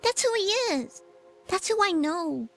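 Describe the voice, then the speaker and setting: A woman's voice: extremely high pitch, expressive, a little slow, fairly bright tone, faint music behind, heavily acted. A woman voicing a feisty, cute small flying fox companion in a video game, sassy and emphatic. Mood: earnest.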